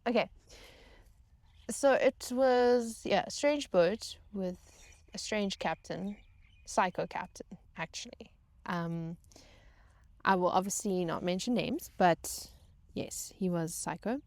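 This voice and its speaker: Casting: female vlog host, chatty and wry, unhurried halting delivery, dry close recording. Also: medium pitch, dry recording. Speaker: vlog host telling stories. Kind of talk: casual personal storytelling.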